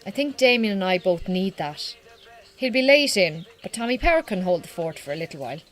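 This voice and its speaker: Actress as a lively Irish farmer's wife. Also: female voice, medium pitch, fairly quick, close to an everyday voice. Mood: brisk. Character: warm-hearted, brisk Irish farmer's wife. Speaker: actor in a radio drama. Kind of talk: naturalistic radio drama acting.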